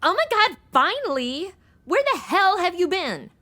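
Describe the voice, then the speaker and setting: Comedy audio-drama party girl female actor: young, exasperated, breezy, bright edge, very animated. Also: very high-pitched, a little slow, full character voice. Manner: exasperated, breezy modern slang. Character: flighty young female temp secretary, indignant party girl.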